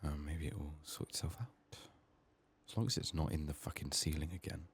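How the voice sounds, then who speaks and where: Very deep, hushed soft-spoken man. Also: a little fast, husky. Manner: hushed, often slipping into whispers. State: sick, strained voice. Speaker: video game streamer. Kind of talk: soft-spoken ASMR gameplay commentary.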